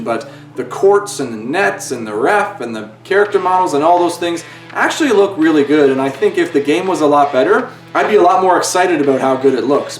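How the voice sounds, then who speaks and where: A man's voice: medium pitch, far from the mic. A man hosting his own channel, giving an earnest, impassioned spoken review of a video game. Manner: earnest and impassioned, with pleading appeals. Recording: roomy echo, away from the mic, faint music.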